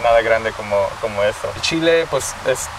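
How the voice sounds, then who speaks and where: A man in his thirties with a Mexican accent, hosting a relaxed interview and switching between English and Spanish. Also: lowish voice, normal speed. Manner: relaxed, switches languages mid-sentence. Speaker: host. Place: outdoor park under a tree, city. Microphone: distant camera mic. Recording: distant mic, city traffic noise.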